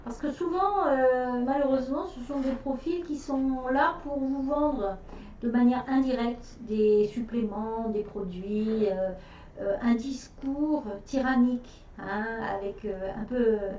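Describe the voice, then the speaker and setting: A woman's voice: on the high side, a little slow, dark tone, recorded from a distance, slight background noise. A middle-aged French woman talking casually on a live stream, hesitant, with many fillers and restarts. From France. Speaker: nutrition specialist hosting a live stream. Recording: echoey room, voice off-mic.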